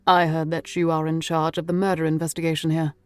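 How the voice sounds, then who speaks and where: A woman plays an unruffled British gentleman of the law for an audiobook. His lowish voice is cultured and precise, courteous yet aloof. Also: flat delivery, quick pace, clear, resonant tone, dry recording, close to an everyday voice. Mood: polite, composed. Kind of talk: audiobook character voice.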